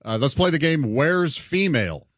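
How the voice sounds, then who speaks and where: A man's voice: a little high, expressive, moderate pace, no echo. A man cracking self-deprecating jokes in casual banter as a regular on a radio show, recorded in a studio.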